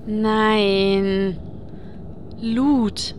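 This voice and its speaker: A woman playing a pragmatic, deadpan fantasy game adventurer who leads her group, in a comedy audio play. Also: medium pitch, extremely slow, slight background noise. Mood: matter-of-fact. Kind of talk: audio comedy parody acting.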